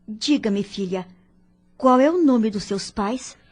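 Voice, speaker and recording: A woman playing an ailing, pensive older mother in an audio drama, with a breathy voice. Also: fairly high pitch, expressive, slow pace, darkish tone. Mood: pensive, troubled.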